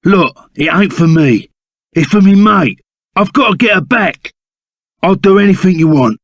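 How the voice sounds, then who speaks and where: An actor playing a loyal, excitable reformed bandit sidekick in a video game, with a Cockney accent and blunt, slangy speech. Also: male voice, high voice, normal speed, no room sound, clean studio sound. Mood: earnest, urgent. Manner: rough colloquial slang, blunt and emphatic. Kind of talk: video game character voice acting.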